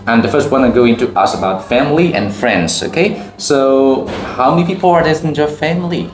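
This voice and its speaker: A man's voice: mid-range voice, moderate pace. Man, echoey interview. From Cambodia. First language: Khmer. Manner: simple broken English, answers each reply with "okay". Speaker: teacher and channel host. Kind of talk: classroom question-and-answer video. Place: school campus. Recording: echoey, with faint background music.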